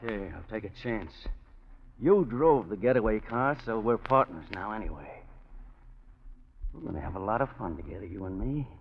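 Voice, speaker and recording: A dull, lo-fi vintage radio recording of a smug American killer and blackmailer. His voice swings widely in pitch as he threatens in sardonic slang. The delivery is classic forties crime drama. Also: lively intonation, normal speed, vocal fry, a little hiss or hum. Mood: wary, smug. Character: menacing, smug gambler and killer, a tough blackmailer.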